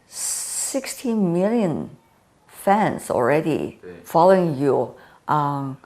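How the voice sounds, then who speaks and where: A woman interviewing an artist, asking measured, slightly halting questions. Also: sits low, sing-song, darkish tone.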